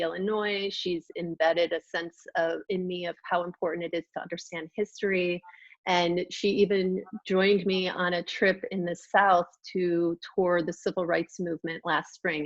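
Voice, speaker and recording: An American woman reflecting personally as a panel guest, measured and thoughtful, pausing to choose her words. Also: on the low side, no echo, dull audio. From Chicago, United States. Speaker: panel guest, artist and educator.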